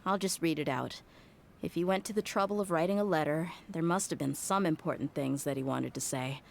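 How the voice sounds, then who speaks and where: A woman playing a tough, frank, warm-hearted young desert mercenary in a video game. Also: deep voice, fairly quick, vocal fry, slight background noise. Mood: curious. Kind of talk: video game dialogue acting. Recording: game music behind the voice.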